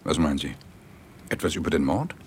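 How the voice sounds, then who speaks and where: An actor playing an exhausted, obsessed police detective, intimate and brooding, in a naturalistic radio drama. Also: male voice, a bass voice, lively intonation, brisk. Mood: alert, questioning. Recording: clear rain and ambience noise.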